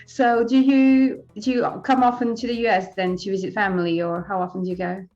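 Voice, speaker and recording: A woman's voice: mid-range voice, level tone, a little fast, a little mellow, highs cut off, soft background music. Serbian-born woman hosting a chat. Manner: friendly, laughs often. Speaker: host. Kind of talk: casual interview chat.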